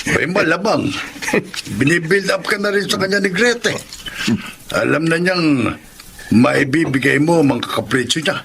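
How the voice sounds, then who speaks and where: A sly, hedonistic older brother in a Filipino radio drama. His voice is gravelly and bright, mid-pitched and smugly knowing, over faint noise. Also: lively intonation, measured pace, pauses often, heavily acted. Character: sly, pleasure-seeking older brother egging the other on.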